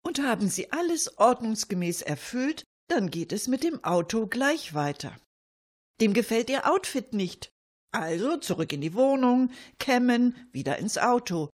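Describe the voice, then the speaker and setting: A woman co-hosting a satirical cabaret radio show, with a husky voice and dry irony. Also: a little high, big pitch swings, unhurried.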